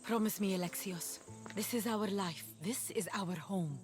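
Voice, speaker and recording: An actress playing an earnest, gently insistent young mother in a video game drama. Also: medium pitch, measured pace, soft background music, lightly acted. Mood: earnest, pleading.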